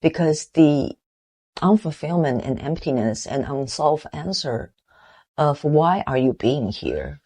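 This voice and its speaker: A woman in her forties from Taiwan with a Taiwanese accent, giving an earnest, reflective motivational talk with halting restarts. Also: low voice, sing-song, mellow, slightly rough. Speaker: motivational vlogger.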